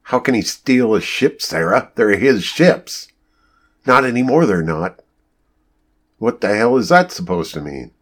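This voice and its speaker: A man's voice: fairly low pitch, very animated, unhurried, fairly bright tone, heavily acted. Man acting a hot-tempered, indignant company boss. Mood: heated, defiant. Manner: confrontational, indignant, swearing.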